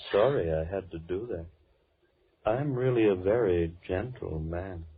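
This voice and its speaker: Villain for vintage radio thriller: a lowish-voiced, courteous madman, measured and mannered, muffled recording. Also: heavy background noise. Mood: coolly menacing. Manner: measured, mannered, politely menacing. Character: soft-spoken, menacing madman holding two girls captive. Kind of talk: old-time radio drama acting.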